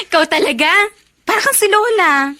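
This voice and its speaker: A woman's voice: extremely high pitch, sing-song, a little slow, pushed and bright, full character voice. A woman playing a cheerful, smitten young college student in a Filipino radio drama, sweet and earnest. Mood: excited, teasing.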